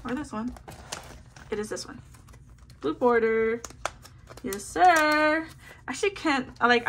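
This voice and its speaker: A woman's voice: a little high, fairly bright tone, slight background noise. A woman chatting casually as she sorts photocards into a binder, bubbly and slangy with lots of little asides. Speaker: photocard collecting vlogger. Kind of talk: casual chat while sorting a binder. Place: indoors at a table, hands seen filming a binder.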